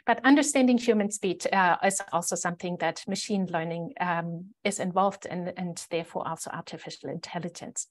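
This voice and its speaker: A woman with a German accent giving an explanatory conference presentation, measured, with hesitant pauses mid-sentence. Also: fairly low pitch.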